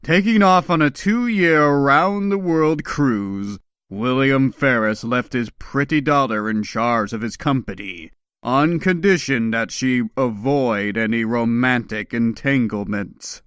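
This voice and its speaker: A man's voice: medium pitch, slow pace, a bit bright, clear, resonant tone, no echo, studio quality. A man delivering dramatic scripted narration of a comic book. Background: faint music.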